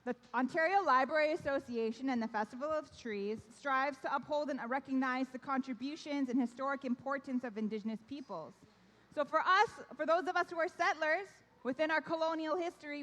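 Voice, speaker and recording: A young woman with a high, bright-sounding voice hosts a ceremony for kids, coaxing them into call-and-response. Her first language is Korean, though she grew up in Canada. Her words ring out with the long reverb of a large hall. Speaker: event host. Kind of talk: addressing a young audience at an awards ceremony.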